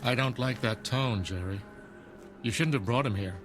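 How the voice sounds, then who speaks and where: An actor playing a disapproving, suspicious member of a secret group, measured and stern, in an audio drama. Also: male voice, medium pitch, music in the background, natural voice. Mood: cold disapproval.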